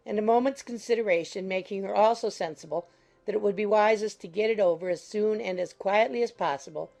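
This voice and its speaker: A woman's voice: medium pitch, gravelly, darkish tone. A woman reading scripted literary narration as an audiobook narrator. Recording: faint music behind the voice.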